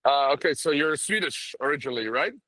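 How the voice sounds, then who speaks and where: Man, upper-middle pitch, dry close sound; chatty caller at an event, stammers and restarts. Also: animated, fairly quick, pushed and bright, no echo. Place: at a social event. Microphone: phone on a call, camera off.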